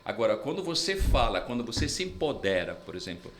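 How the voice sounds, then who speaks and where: A man's voice: mid-range voice, big pitch swings, fairly quick, fairly bright tone, slight background noise. A man from Brazil, an interpreter and English mentor, explaining his views earnestly as an interview guest.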